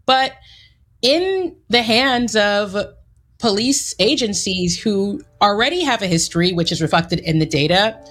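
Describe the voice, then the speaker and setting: A woman's voice: mid-range voice, a bit bright. A woman answering in an interview, speaking emphatically and lifting her voice on key words.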